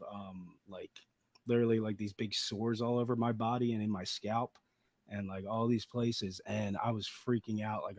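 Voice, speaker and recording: A man with a slightly raspy voice, a podcast guest rambling through a personal story in casual chat with lots of fillers. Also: on the low side, no room sound. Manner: rambling, laid-back storytelling with many fillers.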